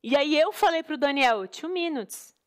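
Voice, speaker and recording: A woman, co-hosting a podcast and chiming in with chatty, animated stories. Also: high voice, a little slow, no room sound, studio quality. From Brazil. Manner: chatty, interjecting, animated storyteller. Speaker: podcast co-host. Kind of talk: casual storytelling chat.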